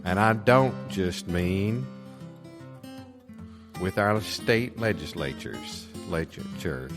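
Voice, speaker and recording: A man's voice: deep voice, expressive, slow, exaggerated voice. A man playing a folksy, indignant used car dealer delivering a pompous, patriotic local advertisement. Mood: righteous, indignant. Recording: guitar music behind. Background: plucked guitar music.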